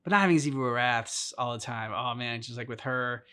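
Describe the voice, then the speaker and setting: A man co-hosting a game reaction video, speaking haltingly, with many fillers, and trailing off. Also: medium pitch, sharp tone, smooth. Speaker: co-host of a game reaction channel. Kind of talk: casual reaction chat.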